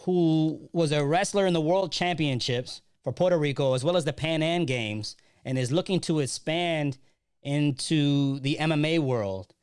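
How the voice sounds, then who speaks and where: A man hosts an interview with a smooth, resonant voice pitched a little high. He prompts his guest with encouraging follow-up questions. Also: a little slow, darkish tone. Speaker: interviewer, host.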